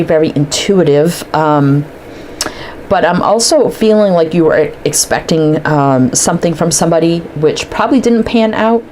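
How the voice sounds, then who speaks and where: Stuffy-nosed woman, low pitch, chatty tarot reader talking through her cards. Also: a little mellow, a little hiss or hum, rough recording. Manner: chatty, thinks aloud while pulling cards. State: stuffy from allergies, sniffling. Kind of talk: casual tarot card reading to camera.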